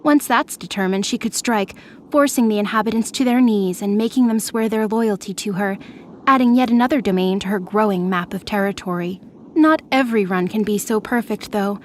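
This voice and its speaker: A woman narrator reading scripted, dramatic fiction for an audio drama. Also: mid-range voice.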